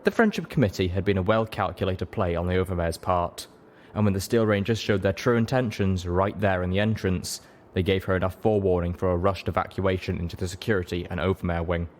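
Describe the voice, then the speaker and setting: A man reading a dramatic story as an audiobook narrator, measured, solemn and emotive. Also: low-pitched, slight background noise. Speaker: audiobook reader.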